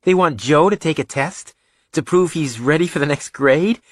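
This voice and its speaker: Man voicing a cocky schoolboy, pitched well up. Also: normal speed, no room sound, clean studio sound, natural voice. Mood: protesting. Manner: blunt, protesting, sardonic. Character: cocky, argumentative schoolboy, stubborn and wry. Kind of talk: audiobook character dialogue.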